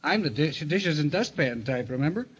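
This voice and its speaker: A grumbling, wisecracking working-guy husband in a vintage radio play. The voice is fairly high and very animated, sarcastic and slangy, on a muffled old recording. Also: normal speed, husky. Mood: teasing, sarcastic. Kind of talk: old-time radio drama acting.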